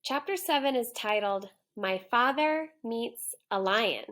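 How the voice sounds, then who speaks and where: A woman teacher reading a children's story aloud with expressive, clearly phrased narration. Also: fairly high pitch, measured pace. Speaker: third grade teacher.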